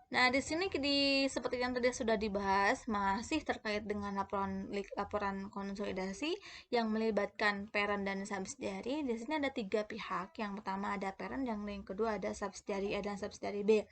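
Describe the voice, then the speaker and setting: A woman's voice: medium pitch, fairly even intonation, a little fast, a bit bright. Woman explaining a lesson, somewhat hesitant. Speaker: accounting lecturer.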